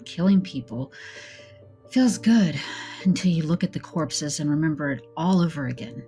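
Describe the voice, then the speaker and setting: A woman's voice: low voice, moderate pace, warm tone, faint music behind. A woman playing a hardened, world-weary fighter in an audio drama, blunt and heavy with old loss. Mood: bitter, weary.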